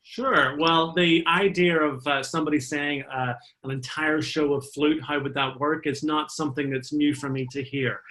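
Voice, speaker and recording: Talkative Northern Irish man, fairly high voice. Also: steady tone, normal speed, few pauses, bright, forceful tone. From Northern Ireland. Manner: friendly, talkative, many fillers. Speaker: flute player and entertainer. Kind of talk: interview guest, conversational storytelling.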